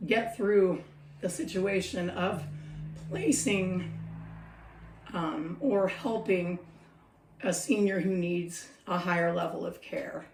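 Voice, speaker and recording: Middle-aged woman, low gravelly scratchy voice, chatty and reflective vlogger, roomy distant sound. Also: slow pace, pauses often, darkish tone. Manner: chatty, reflective, laughs often. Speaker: senior care worker sharing advice. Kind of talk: casual talking-to-camera vlog. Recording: roomy echo, mic a bit distant.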